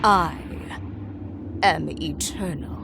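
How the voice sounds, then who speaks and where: Game villain spirit: solemn, archaic, seductively calm, deep for a woman, drawn-out delivery. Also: gravelly, noisy background, full character voice. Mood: cold, commanding. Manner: solemn, deliberate, archaic phrasing. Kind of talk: video game villain monologue.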